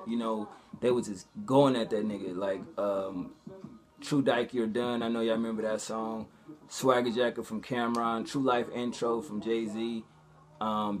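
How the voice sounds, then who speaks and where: A young man chatting casually in a vlog, with informal, slangy speech and frequent swearing. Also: mid-range voice. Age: young adult. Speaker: vlogger.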